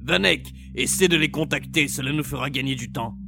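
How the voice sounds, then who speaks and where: A man playing a big, commanding male mercenary chief in a science-fiction audiobook, with a brisk manner. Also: on the high side, gravelly, music in the background.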